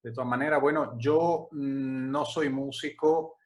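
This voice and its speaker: A man in his forties from Italy, speaking with an Italian accent, answering interview questions in a relaxed, hesitant way with many restarts. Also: medium pitch, measured pace. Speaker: interview guest, music agency director.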